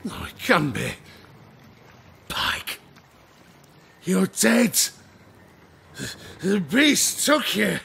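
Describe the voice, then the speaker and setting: A gravelly, high-pitched old drunken sailor. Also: male voice, sing-song, very slow, long pauses, pushed and bright, exaggerated voice. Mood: terrified. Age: older. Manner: rambling, archaic seafaring dialect. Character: rambling drunken old sailor, broke and regretful. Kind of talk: audio drama acting.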